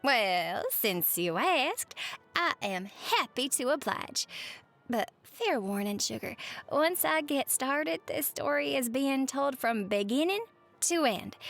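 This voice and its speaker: Woman playing a cheerful high-voiced Southern waitress. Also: unhurried, vocal fry, faint music behind, exaggerated voice. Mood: chatty, amused. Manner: folksy, expressive, uses pet names. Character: cheerful, chatty Southern waitress on a diner night shift. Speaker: roleplay audio performer. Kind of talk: roleplay monologue to the listener, chatty storytelling.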